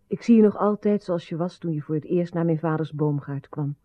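A woman's voice: deep voice, brisk, dark tone, no echo, close to an everyday voice. An actress playing a wistful, tender queen in love in a radio drama. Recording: slightly dull old radio recording.

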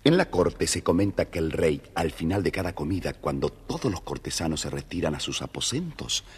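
A man's voice: low voice, very animated, a little fast, slightly rough. A man narrating a scripted children's story in a theatrical, playful way. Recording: reverberant studio, music behind.

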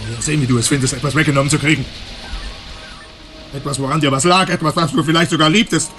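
An actor playing a menacing, bitter armed robber who threatens and taunts, in a radio drama. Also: male voice, a little high, steady tone, quick pace, a bit bright, faint music behind, lightly acted. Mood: bitter taunting.

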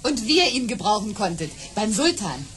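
A woman's voice: medium pitch, very animated, normal speed, fairly bright tone. A woman playing a curious, sympathetic listener to tall tales in a children's audio drama. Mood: curious, wondering.

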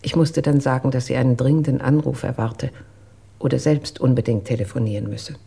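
An actress playing a reserved, dutiful household employee who recounts events in a measured, careful way in a radio drama, with a husky voice. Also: female voice, extremely low pitch, steady tone, dark tone, full character voice. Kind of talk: German radio drama acting.

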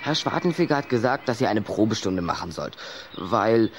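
A man's voice: lowish voice, animated, normal speed, a little hiss or hum. A man playing a sceptical, teasing schoolboy with a questioning, hesitant manner in a children's radio play.

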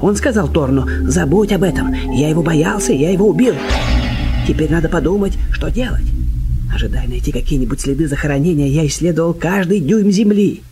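A wry and courteous middle-aged male sleuth from a radio drama. He speaks methodically in a rather high male voice, with music clearly audible behind him. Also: expressive, fairly quick. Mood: weary, gloomy.

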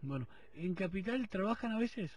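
Joking Rioplatense radio host, high voice. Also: male voice, animated, moderate pace, distant mic. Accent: Rioplatense Spanish. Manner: joking, teasing. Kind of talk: casual phone interview. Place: radio studio.

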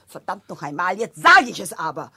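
An actress playing a frank, exasperated wife who insists the truth be spoken, with a husky voice, in a German dub of a stage drama. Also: female voice, on the low side, very animated, moderate pace, exaggerated voice. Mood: insistent. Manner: insistent, forthright.

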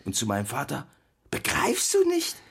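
Animated, husky storytelling husband. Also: male voice, fairly high pitch, normal speed, full character voice. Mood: animated, insistent. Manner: theatrical anecdote telling with quoted dialogue. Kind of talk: radio play storytelling.

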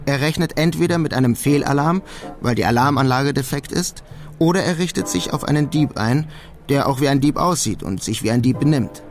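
An actor playing a wry thief who narrates his own heist in a German radio crime drama, measured and deadpan. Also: medium pitch, soft background music, lightly acted.

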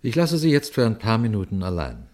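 Low-voiced, composed detective. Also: male voice, huge pitch swings, a little fast, over loud music. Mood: addressing listeners. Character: male police detective, calm, shrewd, quietly triumphant. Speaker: detective narrating his own case. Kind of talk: German radio crime drama acting and narration.

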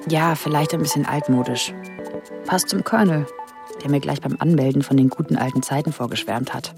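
An actress playing a naive, curious seventeen-year-old secretary in a radio drama, acting and narrating in a questioning way. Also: female voice, low-pitched, brisk, gravelly, music in the background. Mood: reflective, impressed. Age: young adult.

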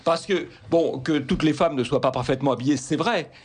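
High-voiced emphatic Frenchman arguing. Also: a little fast, a little mellow, slightly rough. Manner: emphatic, repeats himself to hold the floor. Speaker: panel guest. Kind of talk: heated debate. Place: radio studio.

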